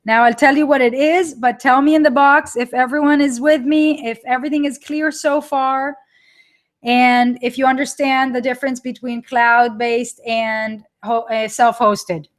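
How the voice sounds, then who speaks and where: A woman's voice: sits high, little pitch movement, measured pace. A woman with an Israeli accent hosting a live webinar, chatting with viewers as she presents her product, with frequent restarts. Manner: chatty, reads live chat and reacts to viewers.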